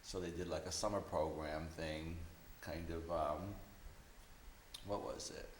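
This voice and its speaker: A man's voice: rumbling low, steady tone, unhurried. A man being interviewed, casually recounting memories in a loose, hesitant storytelling manner. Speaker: interview guest, illustrator. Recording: roomy echo with background noise.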